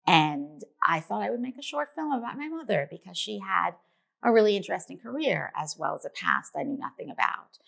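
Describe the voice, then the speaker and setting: A woman's voice: mid-range voice, animated, a little fast, gravelly, a bit bright. A woman from New York, a documentary filmmaker, giving earnest, reflective answers in an interview.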